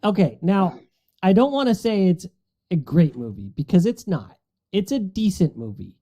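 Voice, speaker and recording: A man's voice: sits high, very animated, normal speed, dark tone. A middle-aged man chatting casually about movies as a podcast panelist, in an explanatory, conversational way.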